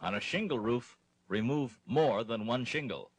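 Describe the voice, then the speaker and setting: Man, husky voice, lively pitch swings, scripted training film narration. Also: measured pace, dry recording.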